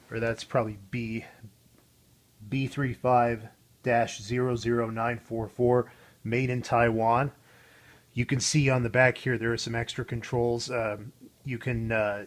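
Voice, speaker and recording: A man hosting a casual show-and-tell, explaining things in an informal, conversational way. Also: mid-range voice. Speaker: host of a vintage radio showcase.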